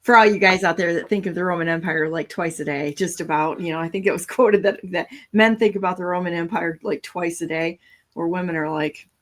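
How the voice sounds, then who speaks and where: A woman's voice: lowish voice, fairly even intonation, a little fast. An American woman talk show host giving conversational political commentary in an opinionated, emphatic way. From United States.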